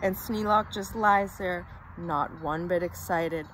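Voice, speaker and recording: A woman's voice: lowish voice, slow, fairly bright tone, slight background noise. A woman reading a rhyming children's story aloud with theatrical, dramatic emphasis.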